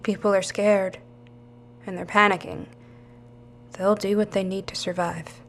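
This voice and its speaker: A woman acting in an audio drama as a grim, knowing survivor with a creaky voice. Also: medium pitch, little pitch movement, unhurried, a little hiss or hum, natural voice. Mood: grim, subdued. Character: world-weary female survivor, grim and knowing.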